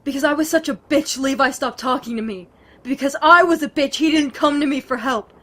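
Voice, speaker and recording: A woman's voice: high-pitched, brisk, fairly bright tone. A woman playing a bitter, guilt-ridden, foul-mouthed woman in an audio drama, blunt and defensive in manner. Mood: guilty, regretful.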